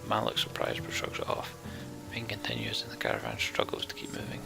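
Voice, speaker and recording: Male game narrator, very creaky and half-whispered, lively pitch, music and rain behind. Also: quick pace. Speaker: let's play host and narrator. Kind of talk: reading game story text aloud as dramatic narration.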